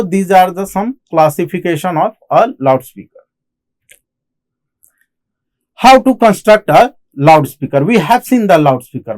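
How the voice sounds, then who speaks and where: A man with an Indian accent giving a technical lecture as an instructor, explaining in halting phrases and often repeating himself. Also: high-pitched, a little mellow. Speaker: engineering lecturer. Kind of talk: classroom lecture on technical material.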